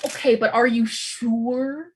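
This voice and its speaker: An actress playing an anxious, awkward, eager co-op worker in a sitcom table read, flustered and stammering. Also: female voice, a little high, steady tone, slow, a little mellow, slight background noise.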